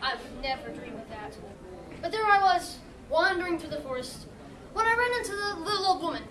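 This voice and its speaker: A woman's voice: very high-pitched, animated, fairly quick, fairly bright tone, some chatter behind. A woman playing a reformed, earnest Big Bad Wolf telling its story theatrically in a stage comedy. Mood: confessional. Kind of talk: youth stage comedy acting. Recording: echoey hall from far off, audience noise.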